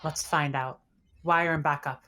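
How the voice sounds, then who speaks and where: A woman's voice: sits low, fairly even intonation, measured pace, sharp tone, faint music behind. A woman reading the part of a tough, suspicious, decisive ship's officer in a script table read, firm and blunt. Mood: demanding.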